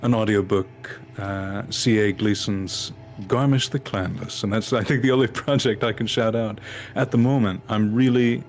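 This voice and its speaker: A man's voice: on the low side, fairly even intonation, moderate pace. A man, a voice actor, chatting casually as a guest in a hesitant, earnestly grateful way. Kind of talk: casual chat. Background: background music.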